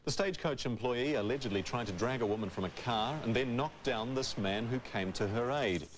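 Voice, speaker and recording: A man's voice: medium pitch, brisk, slight background noise. A man with a New Zealand accent reading scripted news as a presenter.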